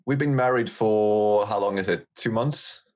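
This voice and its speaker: A man's voice: on the low side, measured pace, darkish tone. A man chatting casually as a guest on a podcast. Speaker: guest, audio drama lore editor.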